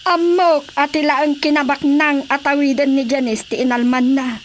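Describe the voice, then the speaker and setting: A woman's voice: high voice, steady tone, moderate pace, slight background noise, natural voice. A woman acting a stern, complaining lady of the house in a radio drama.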